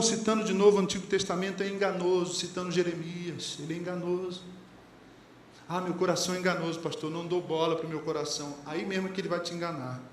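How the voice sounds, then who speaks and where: Brazilian pastor preaching in an echoey church. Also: male voice, sits high, slight background noise. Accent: Brazilian Portuguese. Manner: conversational preaching, quotes lyrics and sayings, asks the congregation questions. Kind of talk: sermon. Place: church hall. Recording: echoey church hall, faint ambience.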